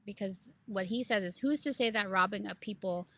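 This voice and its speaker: A woman's voice: mid-range voice, brisk, a bit bright, no room sound. A woman co-hosting a casual book club podcast, talking earnestly and rambling with plenty of "like" and "you know".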